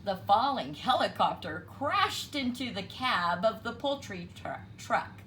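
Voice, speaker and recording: A woman reading a children's picture book aloud with expressive storytelling narration. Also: medium pitch, measured pace, a little hiss or hum, poor audio.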